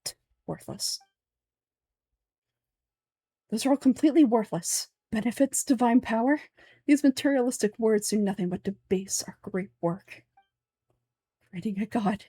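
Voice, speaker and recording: A woman's voice: a little high, normal speed, dark tone, breathy edge, no echo. A woman voicing a pompous, fanatical older male sage from a game, speaking formally and in declamatory fashion. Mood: fervent. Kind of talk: game dialogue dub.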